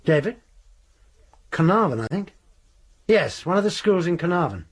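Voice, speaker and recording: A guarded, husky headmaster. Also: male voice, high voice, very animated, moderate pace, full character voice. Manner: guarded, curt, evasive. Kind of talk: BBC radio drama acting.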